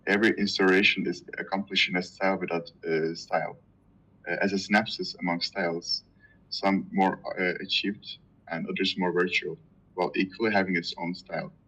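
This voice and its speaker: A man whose first language is Turkish, reading a dense philosophy text aloud to a reading group, halting and stumbling over hard words. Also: low voice, little pitch movement, pushed and bright, slightly rough, dull audio.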